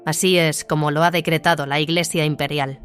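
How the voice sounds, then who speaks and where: Man voicing a grave female warrior nun, raised pitch. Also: fairly even intonation, brisk, fairly bright tone, faint music behind, natural voice. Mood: sad, resigned. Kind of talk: dramatized sci-fi audiobook dialogue.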